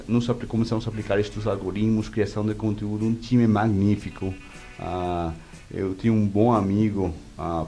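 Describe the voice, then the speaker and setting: A man with a Venezuelan accent and native Spanish, answering interview questions conversationally in halting speech, searching for words. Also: fairly low pitch, warm tone. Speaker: interview guest, media executive.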